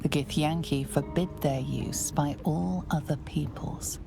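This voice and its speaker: A woman playing an ominous, all-knowing narrator of a dark fantasy game, telling it in a measured way. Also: low voice, steady tone, creaky. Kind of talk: scripted second-person narration. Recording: music behind the voice.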